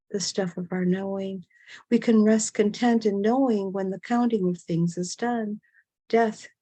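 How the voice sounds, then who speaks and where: A woman gives a poetry reading in a soft-edged, mellow voice. She moves slowly and deliberately, setting down short phrases with pauses in an incantatory way. The sound is close and dry. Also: medium pitch, no room sound. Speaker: poet reading own work.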